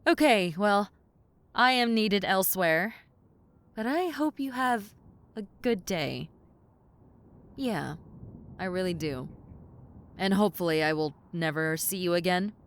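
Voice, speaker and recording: A woman's voice: mid-range voice, expressive, unhurried, long pauses, slight background noise. A woman playing a centuries-old tomboy succubus in an audio roleplay, wry and flustered but kind, talking casually to the listener. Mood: earnest warning.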